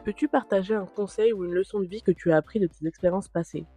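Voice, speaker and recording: A woman's voice: mid-range voice, fast, warm tone, husky. A woman interviewer reading out prepared questions to her guest.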